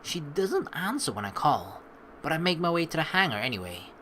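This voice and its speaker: Young male let's player, bright forceful voice, very animated intonation, expressive rambling delivery, faint background noise. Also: on the high side, a little fast. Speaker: let's play host. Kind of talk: game commentary and reading narration aloud.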